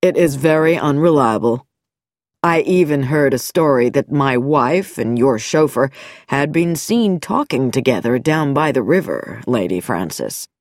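Woman reading as smooth sinister middle-aged doctor, deep for her, slow, dry sound, storybook. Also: dry recording, heavily acted. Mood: smooth, mocking. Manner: smooth, calm, quietly mocking.

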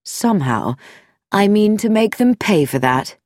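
A woman's voice: mid-range voice, expressive, measured pace, darkish tone, no room sound, clean studio sound, close to an everyday voice. A woman reading an audiobook voices a grieving man of the gentry who speaks in a firm, bitter way. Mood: angry.

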